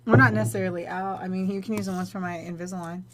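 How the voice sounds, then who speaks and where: A woman's voice: on the low side, steady tone, rapid-fire, crowd noise. A young adult woman co-hosting a podcast, chatting casually over drinks, blunt and teasing, swearing freely. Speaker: podcast co-host.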